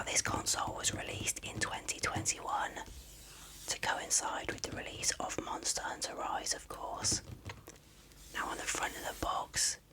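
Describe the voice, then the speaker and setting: A person speaking in a breathy, unvoiced whisper, softly and unhurriedly explaining things as an ASMR unboxing presenter. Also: poor audio. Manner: soft-spoken, unhurried, explanatory. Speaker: video presenter.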